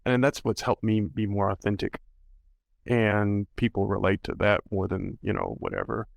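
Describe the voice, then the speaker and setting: Gravelly-voiced male podcast host. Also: lowish voice, flat delivery, normal speed, no room sound. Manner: rambling, full of "you know" and "like". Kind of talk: casual interview chat.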